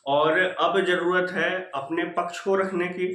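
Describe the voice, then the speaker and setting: Male channel host, high voice, earnest and repetitive rallying talk, roomy echoing recording. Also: fairly even intonation, slow, bright, forceful tone. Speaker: channel host addressing exam candidates. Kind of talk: informal motivational update talk.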